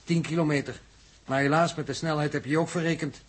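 An actor playing a blunt spaceship navigator in a 1960s radio drama, speaking in clipped, emphatic phrases. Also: male voice, on the high side, fairly even intonation, a little fast, breathy edge. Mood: insistent.

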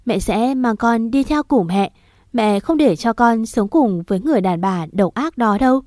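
A woman voicing a desperate young mother who pleads through tears and shouts in fury. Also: fairly high pitch, animated, moderate pace, dry recording, clean studio sound, natural voice. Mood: tearful pleading. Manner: sobbing pleas turning to shouted fury.

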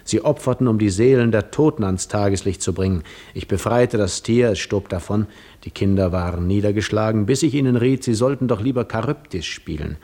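An actor playing a weary, proud seafarer lost in memories, in a poetic, theatrical 1950s radio monologue. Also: male voice, lowish voice, fairly quick, dark tone, lightly acted. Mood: wistful, reflective. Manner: poetic, reflective, theatrical delivery.

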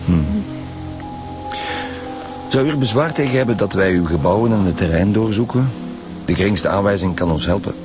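Actor as low-voiced Flemish chief police inspector. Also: male voice, lively intonation, moderate pace, pauses often, over music, close to an everyday voice. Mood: firm, probing. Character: seasoned male chief police inspector, dry and businesslike. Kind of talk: naturalistic radio drama acting.

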